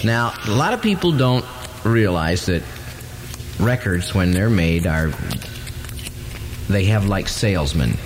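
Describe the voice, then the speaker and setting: A man's voice: sits low, lively intonation, slow, long pauses, background noise. A man hosting morning radio with loose, ad-libbed DJ chat.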